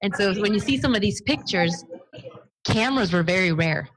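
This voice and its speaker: A woman in her thirties, born and raised in Romania with Romanian as her first language, talks through her family history in a relaxed interview. She is chatty and tells her stories freely. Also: medium pitch, moderate pace, low-quality recording. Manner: chatty, storytelling, laughs easily. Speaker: guest. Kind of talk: conversational interview.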